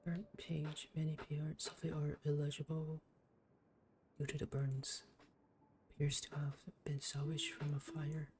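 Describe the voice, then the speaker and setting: A woman's voice: sits low, flat delivery, measured pace, darkish tone, a little hiss or hum. A woman streaming a game, commenting casually and reading on-screen text aloud. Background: game music.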